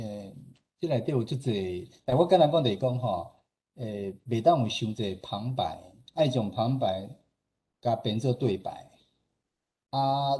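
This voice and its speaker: A man with a Taiwanese accent explaining things informally and modestly in an online discussion, in a halting way. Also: mid-range voice, warm tone. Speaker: radio station director and radio drama producer, guest speaker. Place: online meeting.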